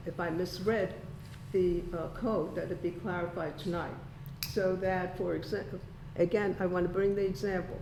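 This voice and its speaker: A woman speaking as a resident at a town meeting, choosing formal words in a halting way. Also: fairly low pitch, gravelly, darkish tone. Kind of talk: public comment at a meeting. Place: indoor town board meeting room. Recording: echoey meeting room with background noise.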